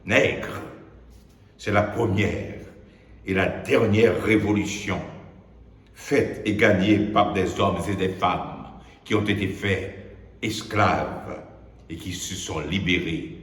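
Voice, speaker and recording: Male poet, low and slow, declamatory spoken-word delivery with weighty pauses. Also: steady tone. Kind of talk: solemn dramatic spoken-word poetry recitation. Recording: echoey hall-like reverb, background noise.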